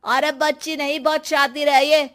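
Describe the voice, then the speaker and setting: A woman's voice: high voice, level tone, moderate pace, a bit bright. A woman playing a mocking, gossipy young female classmate in a cartoon story, with a taunting, sneering delivery. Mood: scornful. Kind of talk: dramatized Hindi moral story cartoon.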